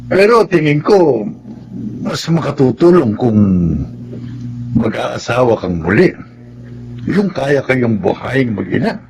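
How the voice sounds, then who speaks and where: Gravelly, concerned older father. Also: medium pitch, sing-song, takes it slowly, long pauses, darkish tone, slight background noise, exaggerated voice. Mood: fatherly, concerned. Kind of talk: Tagalog radio drama acting.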